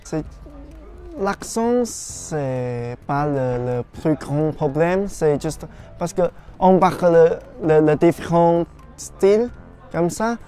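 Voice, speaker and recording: A woman's voice: deep voice, expressive, takes it slowly, warm tone, soft background music. A woman who is not a native French speaker, answering unsure and hesitantly in an interview.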